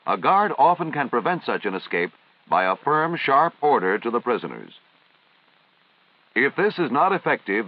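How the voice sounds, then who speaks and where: An American man reading formal, measured, instructional narration in the style of a 1950s military training film. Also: mid-range voice. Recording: dull, band-limited vintage film soundtrack.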